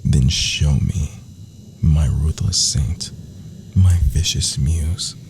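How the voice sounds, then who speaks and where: A man's voice: extremely low pitch, gravelly. A man performing whispered ASMR roleplay as an adoring, menacing villain lover, theatrical and florid, intimate in delivery.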